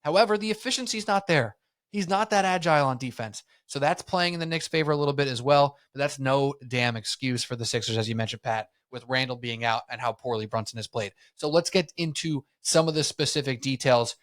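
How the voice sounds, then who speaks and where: An American man hosts a sports talk podcast, breaking down a playoff basketball game in an energetic, fast-flowing way. His voice sits at a middling pitch and is recorded close and dry, with no room sound. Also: no echo. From United States. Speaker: podcast host.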